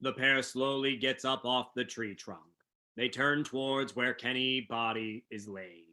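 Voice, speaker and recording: A man's voice: mid-range voice, steady tone, unhurried, bright, forceful tone. A man reading scripted narration for a staged play reading.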